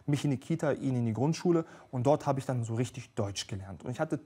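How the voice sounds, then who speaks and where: A man's voice: mid-range voice, slightly rough. A young man telling his story as a guest on a TV sofa interview, speaking eagerly into a lapel mic. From Germany. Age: young adult. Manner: fast, eager storytelling with animated hand gestures. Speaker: politician guest. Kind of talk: conversational TV interview. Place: TV studio sofa set.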